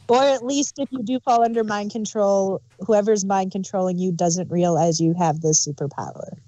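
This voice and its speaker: A woman co-hosting a podcast, chatting casually about an audio drama with short, laid-back replies and soft laughs. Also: mid-range voice, unhurried, dry recording, highs cut off. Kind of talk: casual review chat.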